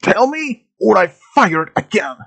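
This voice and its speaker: An enraged teenage boy as a storyteller acts him out in a dramatic tale. The voice lifts high and stretches out each vengeful demand, swinging sharply in pitch. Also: sing-song, creaky, fairly bright tone, heavily acted. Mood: furious. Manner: screaming, drawn-out demands.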